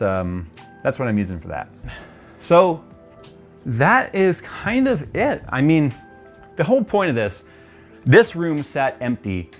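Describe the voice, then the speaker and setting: A man in his forties giving a casual tour of his home game room, chatty and loose with plenty of ums, on a lapel mic. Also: mid-range voice. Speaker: tech YouTuber.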